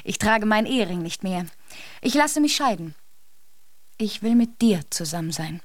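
A woman's voice: medium pitch, animated, moderate pace, no room sound, close to an everyday voice. An actress playing an eager, smitten married woman in a radio drama. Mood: eager, hopeful. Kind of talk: German radio drama acting.

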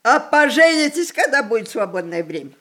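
High-pitched willful old grandmother. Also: very animated, a little fast, bright, forceful tone. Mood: bossy, affectionate. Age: older. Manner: commanding, capricious, theatrical. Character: willful, mischievous old grandmother, matriarch of the family. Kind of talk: Russian stage-play radio acting.

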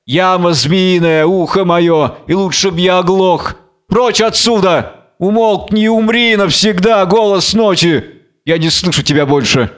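A man's voice: high-pitched, a little slow, fairly bright tone, smooth, voice pushed up. A man playing a tormented, proud captive strongman, declaiming and brooding in a biblical tragedy. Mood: tormented defiance. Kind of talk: dramatic reading of a biblical tragedy.

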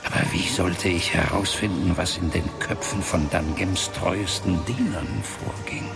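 Casting: ancient wizard father, grave fantasy radio-play figure, very low breathy voice, unhurried, over prominent music. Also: exaggerated voice. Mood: grave, recounting. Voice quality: gravelly, breathy half-whispering voice. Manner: grave, measured storytelling. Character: ancient solemn father, powerful wizard of a magical race. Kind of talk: fantasy radio drama acting. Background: background music.

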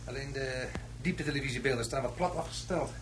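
Actor as fast-talking wry lab technician. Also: male voice, medium pitch, slight background noise, natural voice. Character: brisk, wry male laboratory technician, colleague of scientist. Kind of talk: radio drama acting.

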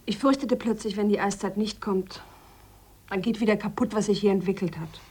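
Woman acting an earnest female scientist, husky mid-range voice, thoughtful, insistent, radio play. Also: mid-range voice, a little fast, a little mellow, lightly acted. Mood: reflective, hopeful. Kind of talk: radio play dialogue, reflective confession.

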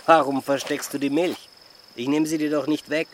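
A man's voice: a little high, little pitch movement, normal speed, slight background noise, natural voice. An actor playing a terse, authoritative man giving instructions in a radio drama. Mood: probing, teasing. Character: curt, commanding man instructing a village girl.

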